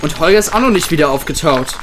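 A man's voice: a little high, animated, quick pace, noisy background. A man acting as himself in a scripted amateur audio play. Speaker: cast member playing himself in audio play. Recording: music bed behind the voice.

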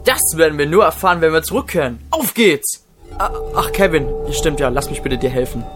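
A man's voice: on the high side, animated, brisk, music in the background, natural voice. A man playing a naive, easily tempted video game fan, gushing in an amateur Christmas comedy. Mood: relieved.

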